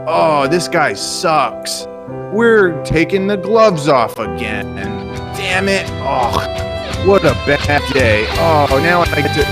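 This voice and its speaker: Halting, entitled rich guy played by a man, music behind. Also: fairly high pitch. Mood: flustered pitching. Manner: halting, defensive, self-important. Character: entitled, flustered rich-kid male auditioning for commercials. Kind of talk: improvised comedy show banter.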